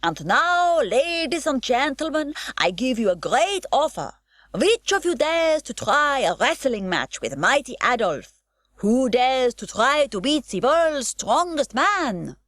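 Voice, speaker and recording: A woman's voice: medium pitch, sing-song, moderate pace, few pauses, dry recording, voice pushed up. A woman voicing a blustering foreign male circus ringmaster, calling out to the crowd in broken showman's grammar. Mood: booming.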